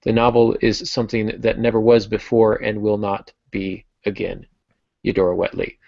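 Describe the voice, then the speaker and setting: Male podcast co-host, middle-aged, creaky and reflective, self-deprecating, on lo-fi audio. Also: fairly low pitch, monotone, normal speed, mellow, no room sound. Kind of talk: casual literary discussion.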